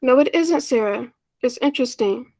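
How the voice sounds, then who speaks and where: A woman's voice: high voice, lively intonation, moderate pace, mellow, no echo. A woman playing a shy, lonely, self-effacing older sister in a 1940s-style radio play, speaking wistfully and hesitantly. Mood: eager. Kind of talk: 1940s radio play acting, first-person narration.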